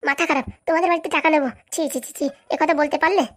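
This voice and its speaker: Woman as evasive young student boyfriend, high voice, racing delivery, chatty, comedy cartoon. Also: steady tone, racing, close to an everyday voice. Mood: brisk, exasperated. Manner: chatty, reassuring, brushes off objections. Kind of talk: Bangla comedy cartoon dialogue.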